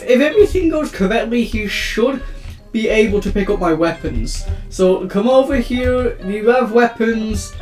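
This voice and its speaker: Young guy doing gaming commentary, high voice, kinda far from the mic in an echoey room, bit of guitar music behind. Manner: excitable, rambling, panicky exclamations. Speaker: gaming YouTuber. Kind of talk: casual gameplay commentary. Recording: roomy, distant mic with background music.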